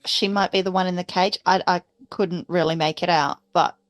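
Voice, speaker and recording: A woman's voice: on the low side, fairly even intonation, normal speed, no echo, muffled recording. A woman talking casually as a player during a tabletop role-playing game.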